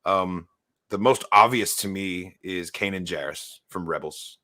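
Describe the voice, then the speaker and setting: Host: New Zealand man, lowish bright voice, upbeat casual fan chat. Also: a little slow. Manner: enthusiastic, laughs often, fillers. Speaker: podcast host.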